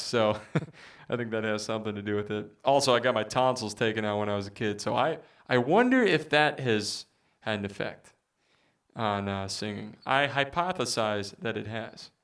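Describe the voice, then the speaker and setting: A man with a baritone voice chatting casually with viewers as a musician streamer, rambling with many fillers. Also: brisk, a bit bright, clean tone, distant mic. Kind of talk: casual livestream chat.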